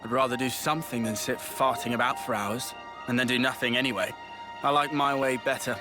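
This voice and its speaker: A man's voice: medium pitch, fairly even intonation, brisk, lightly acted. A man with a British accent acting a brash, restless young fighter in a game cutscene, blunt, slangy and impatient. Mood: defensive. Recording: music behind the voice.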